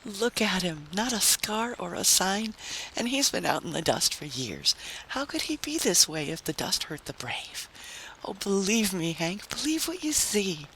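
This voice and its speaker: A man's voice: high-pitched, very animated, measured pace, sharp tone, pitched above the performer's own voice, full character voice, poor audio. A man voicing a fervent, confident wife with a ringing, insistent manner in a dramatic story reading. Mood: triumphant pleading. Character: fervent, confident young wife, defiantly hopeful. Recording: faint background noise.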